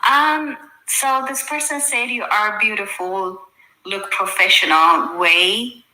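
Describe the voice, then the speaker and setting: A woman's voice: medium pitch, slow, pushed and bright. A woman from Ethiopia with an Ethiopian accent and Amharic as her first language, chatting casually to her livestream viewers and thinking aloud as she goes. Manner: informal, thinking aloud, addressing viewers with endearments. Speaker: social media livestreamer. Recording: roomy, echoey sound.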